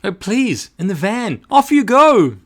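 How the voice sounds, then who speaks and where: Man putting on a flustered, high-pitched bossy postmistress. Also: very animated, unhurried, a bit bright, heavily acted. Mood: imploring. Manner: exclaiming, dramatic. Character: flustered bossy woman post office manager, loud and pleading. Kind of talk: read-aloud children's picture book.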